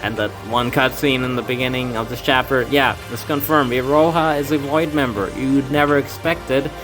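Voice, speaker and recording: A man's voice: medium pitch, fairly even intonation, normal speed, over music, rough recording. A man reading a fan game aloud, voicing its characters with casual asides and stumbles. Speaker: let's play fan dubber. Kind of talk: casual game playthrough with voiced dialogue.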